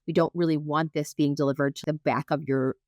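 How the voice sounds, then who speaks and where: A woman's voice: deep voice, flat delivery, a little fast, a little mellow, no room sound, clean studio sound. A woman speaking as a guest doctor, explaining medical points conversationally in plain, informal terms. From lives in Minnesota, United States.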